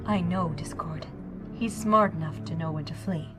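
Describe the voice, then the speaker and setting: Regal pony ruler, female actor: solemn, stern, lowish female voice, audio drama over music. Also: close to an everyday voice. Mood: grave, calm. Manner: measured, solemn. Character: regal, grave elder pony princess, calm and stern. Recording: music behind the voice.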